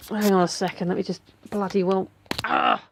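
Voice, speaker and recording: A woman's voice: on the low side, huge pitch swings, moderate pace, slight background noise. A woman with a stuffy nose from a cold, rambling casually with many fillers in a daily video diary from home. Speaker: vlogger.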